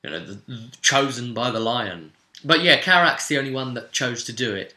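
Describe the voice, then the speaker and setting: Bright-voiced man in an echoey room. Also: medium pitch, lively intonation, normal speed. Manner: rambling, conversational asides. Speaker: game master recapping a tabletop role-playing session. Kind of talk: casual spoken story recap, vlog. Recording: roomy, echoing voice recording.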